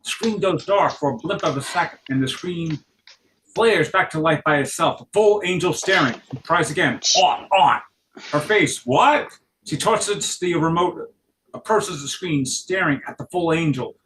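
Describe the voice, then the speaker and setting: Casting: male script narrator, fairly high voice, intense dramatic read, faint noise on the recording. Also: moderate pace, fairly bright tone, rough recording. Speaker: stage-direction reader.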